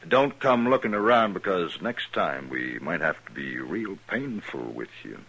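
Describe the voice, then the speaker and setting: A smug gang-leader gunman sneers out mock-friendly threats. His voice is low but bright and forceful, and it carries the cocky menace of 1950s radio western acting. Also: very animated, a little slow, gravelly, breathy edge, heavily acted, poor audio. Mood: sneering.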